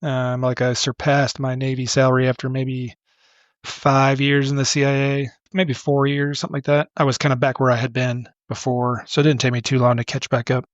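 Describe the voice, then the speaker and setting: A middle-aged man, a podcast guest, talking casually in a relaxed, rambling way with many restarts. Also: mid-range voice, normal speed, dry recording, muffled recording. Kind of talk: casual interview talk.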